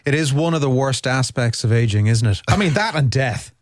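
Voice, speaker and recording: A man's voice: medium pitch, lively intonation, fairly quick, no room sound. Dry-witted male radio co-host. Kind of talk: casual radio chat.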